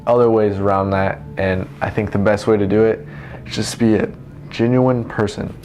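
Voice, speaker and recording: Low-pitched young man, casual and halting. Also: darkish tone. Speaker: vlogger giving self-help tips. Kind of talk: casual, unscripted advice monologue. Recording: noticeable background noise.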